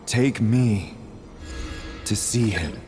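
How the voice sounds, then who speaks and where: An actor playing a solemn, mysterious figure in a video game, speaking in drawn-out, portentous phrases over music. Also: male voice, fairly low pitch, animated. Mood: solemn, pleading.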